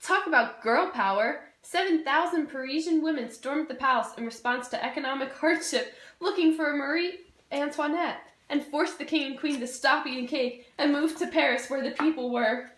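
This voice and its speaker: A woman's voice: high-pitched, normal speed, a bit bright, echoey room. A young woman presenting a scripted educational puppet show, with playful, informal asides. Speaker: student presenter.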